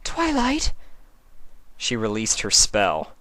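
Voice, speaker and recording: A man's voice: low-pitched, a little slow, bright, forceful tone, dry recording. A man reading fan fiction aloud as dramatic scripted narration.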